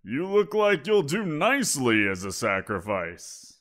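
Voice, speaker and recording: A man's voice: medium pitch, very animated, unhurried, fairly bright tone. A man voicing a giant wolf creature in an audio roleplay, teasing and amused, chuckling as he talks. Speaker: voice actor.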